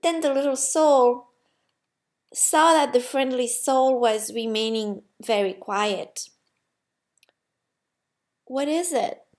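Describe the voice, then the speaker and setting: A woman reads a story aloud in a fairly high voice. Her pace is very slow, and her phrasing is gentle, deliberate and expressive. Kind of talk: expressive scripted story reading.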